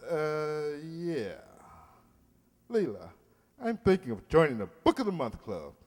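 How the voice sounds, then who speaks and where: Man acting pompous middle-aged suitor, bashful, hesitant, wildly swooping high-set voice, old-time radio comedy. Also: wildly swooping pitch, a little slow, a little mellow, big-room sound. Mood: pompous, nervous.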